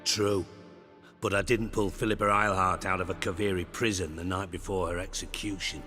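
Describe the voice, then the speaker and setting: A sarcastic, imperious, middle-aged spymaster in a video game. He speaks in a fairly low voice and a crude, commanding manner. Game music plays clearly behind him. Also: flat delivery, moderate pace, bright, forceful tone, big-room sound. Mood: sardonic. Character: sardonic, bossy male spymaster, middle-aged.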